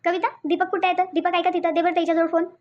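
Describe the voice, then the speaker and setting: Woman voicing a high-pitched comforting elder sister-in-law. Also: little pitch movement, rapid-fire, highs cut off. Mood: reassuring. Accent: Vidarbha (Varhadi) Marathi. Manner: comforting, repeating reassurances. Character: calm, reassuring elder sister-in-law, protective. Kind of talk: Marathi cartoon story dialogue.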